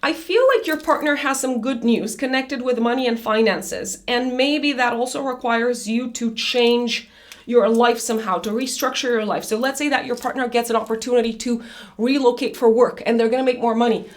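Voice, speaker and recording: A woman reading a monthly horoscope in a chatty, loose way, her voice raspy after an illness. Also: on the high side, fairly even intonation, normal speed. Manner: chatty, loose, with many fillers and restarts. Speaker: astrologer.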